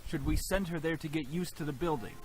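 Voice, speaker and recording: A man's voice: fairly high pitch, fast talker, natural voice, poor audio. A man playing a cold, dismissive middle-aged father who talks in a curt, detached way.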